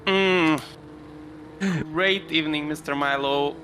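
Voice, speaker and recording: A man's voice: a little high, takes it slowly, background noise. A man co-commentating a sim race on a live stream, playful and often laughing.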